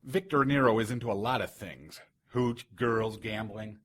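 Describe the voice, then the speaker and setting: An actor playing a tough, scolding 1930s boss in a noir radio drama, blunt, slangy and world-weary. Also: male voice, lowish voice, normal speed, fairly bright tone. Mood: grave.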